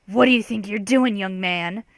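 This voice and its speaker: A woman's voice: medium pitch, moderate pace, dry recording, clean studio sound, lightly acted. A woman voicing a rambling old drunken war veteran telling stories in an emphatic, meandering way. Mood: probing. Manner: odd cadence, emphatic, meandering storytelling.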